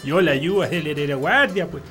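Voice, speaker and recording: An actor playing a loyal, rowdy country drinking buddy in a radio drama, folksy and exclamatory, with a rural Chilean accent. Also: male voice, a little high, brisk, a bit bright, soft background music, lightly acted. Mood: loyal, protective.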